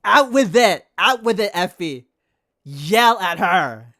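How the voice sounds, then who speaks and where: Animated woman streaming a game. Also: medium pitch, takes it slowly. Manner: excitable, reacts to the game. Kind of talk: casual live stream commentary.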